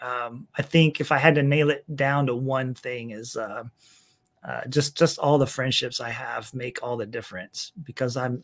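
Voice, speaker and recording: A man's voice: mid-range voice, a bit bright. Middle-aged male pastor, guest; casual, rambling, easy laughter. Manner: chatty, rambling, laughs easily, many fillers. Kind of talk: casual interview conversation.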